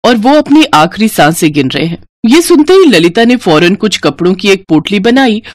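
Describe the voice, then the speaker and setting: A woman narrating a scripted moral story in an expressive storytelling manner. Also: mid-range voice, moderate pace, dry recording, clean studio sound.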